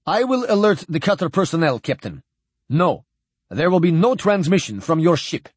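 A cold, commanding admiral of a space navy, acted by a male audiobook reader. He speaks in formal, clipped phrases, pitched fairly high and unyielding. The recording is dry and close. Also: normal speed, husky, no echo. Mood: curt, formal. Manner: flat, formal, clipped diction. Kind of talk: audiobook character dialogue.

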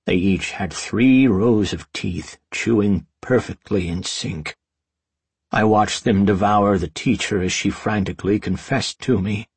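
A man voicing a frail old wizard master in a fantasy story, commanding and wistful, with an emphatic, measured delivery. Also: fairly low pitch, breathy edge, no room sound. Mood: reminiscing. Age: older. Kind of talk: storybook character dialogue.

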